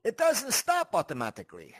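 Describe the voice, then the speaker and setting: A man hosting a casual instructional walkthrough in a chatty, animated way, often checking in with the listener. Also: high-pitched, a little fast, no echo, clean studio sound. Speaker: tutorial host.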